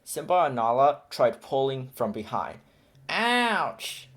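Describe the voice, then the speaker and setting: A man narrating a children's picture book aloud in a steady, clear storytelling manner. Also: mid-range voice, measured pace. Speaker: storybook reader.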